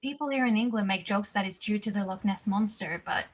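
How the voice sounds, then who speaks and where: A woman's voice: mid-range voice, a little fast, noisy background, close to an everyday voice. A woman playing a no-nonsense, wry mother in her mid-thirties in a drama table read. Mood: wry, matter-of-fact.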